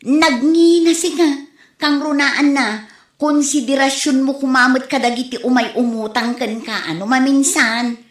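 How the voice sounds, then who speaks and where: A village neighbour acted by a woman in a comedy radio drama, pleading and reproachful as she speaks. Her voice sits somewhat high, recorded in a clearly echoey room. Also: measured pace. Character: pleading female neighbour complaining about prices, asking credit.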